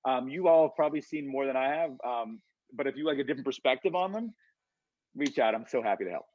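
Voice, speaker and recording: A man's voice: mid-range voice, lively intonation, quick pace, no echo. A man speaking as a guest on a video call, earnest and encouraging, with lots of fillers. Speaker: guest speaker, college instructor. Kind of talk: casual video-call talk. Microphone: computer mic on a video call. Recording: dry, dull lo-fi video call audio.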